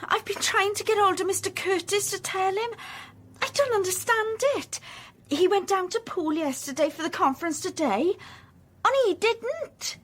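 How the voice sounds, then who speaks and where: A woman's voice: extremely high pitch, moderate pace, a bit bright. A woman acting in a British radio drama as an anxious, flustered office worker. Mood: distressed. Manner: fretful.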